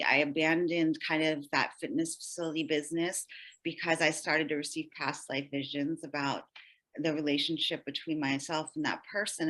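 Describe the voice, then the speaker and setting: A woman's voice: low voice, fairly bright tone, low-quality recording. A woman podcast guest and healer telling personal stories casually, rambling and dropping "like" often. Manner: rambling, peppered with "like". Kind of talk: casual conversational storytelling.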